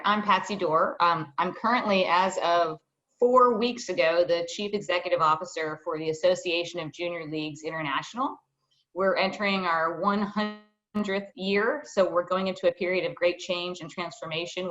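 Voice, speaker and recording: A middle-aged woman giving a professional self-introduction as a panelist, in articulate, measured phrases. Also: on the low side, fairly bright tone. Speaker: panelist, nonprofit chief executive. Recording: slightly dull online call audio.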